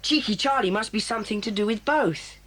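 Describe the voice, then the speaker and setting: This is a confident boy club leader, played by a woman in a storybook drama. He speaks at a middle pitch, briskly and decisively. He sounds sure of what the group must do next. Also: fairly bright tone, close to an everyday voice. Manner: brisk, takes charge. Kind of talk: audio drama for children.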